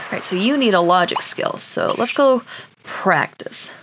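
A woman's voice: medium pitch, expressive, unhurried. A woman giving casual, informal video game commentary, chatting to herself and to the characters as she plays. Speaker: gaming streamer. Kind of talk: casual Let's Play commentary of a life simulation game. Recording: clear background noise, mouse clicks.

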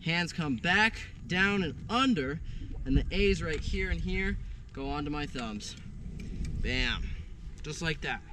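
A young man teaching paramotor hookup outdoors in an open field, energetic and punctuating steps with punchy exclamations. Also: fairly high pitch, slow pace, noisy background. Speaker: paramotor instructor. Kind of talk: hands-on outdoor demonstration.

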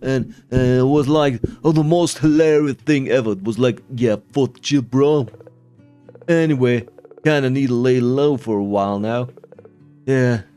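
Slangy young punk pig, boastful prankster bro for a game dub played by a man, unhurried, music behind. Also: mid-range voice, mellow, clear, resonant tone, lightly acted. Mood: bragging, hyped. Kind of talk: voiced-over video game dialogue.

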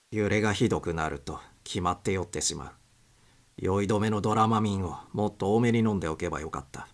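A man reading suspense fiction aloud as scripted audiobook narration. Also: deep voice.

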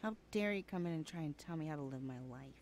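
A woman's voice: low voice, animated, fast talker, darkish tone, slight background noise. A woman playing a sassy, blunt twenty-one-year-old best friend in a casual, slangy audio roleplay, often sighing. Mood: annoyed, defiant. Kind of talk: conversational ASMR roleplay acting.

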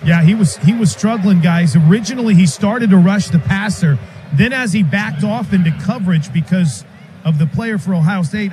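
A man with an American accent and a high but mellow voice reports live from an outdoor football sideline. Faint stadium noise sits behind him.

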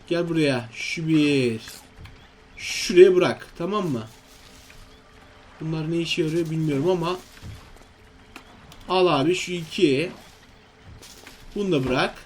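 High-pitched chatty man commentating on gameplay. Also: takes it slowly, long pauses, soft background music. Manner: chatty, exclamatory, self-questioning. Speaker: gaming video host. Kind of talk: casual let's-play commentary to viewers.

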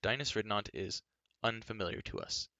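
An earnest young adventurer of a storybook, voiced by a man, speaking in a bright, forceful tone. He is grave and firm, with an anxious, pleading edge, and the sound is close and dry. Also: fairly low pitch, normal speed, no echo. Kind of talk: acted audiobook dialogue.

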